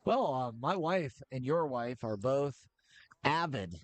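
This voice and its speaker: A man's voice: medium pitch, animated, dry recording. Chatty middle-aged man calling a game. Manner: chatty, joking, with filler pauses. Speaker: play-by-play commentator. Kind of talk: casual high school basketball commentary.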